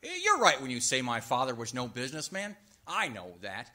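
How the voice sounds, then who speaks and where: A man acting an earnest, excitable young small-town man in a 1940s-style radio play, with a hesitant, folksy stammer. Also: medium pitch, a little fast, heavily acted. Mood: indignant, anxious.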